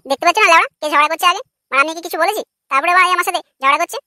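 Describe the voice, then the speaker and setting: A woman's voice: extremely high pitch, racing, bright, forceful tone, dry recording, clean studio sound. A woman voicing a cheeky, foul-mouthed, argumentative village boy in a comic cartoon. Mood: angry squabbling. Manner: brash, argumentative, swearing.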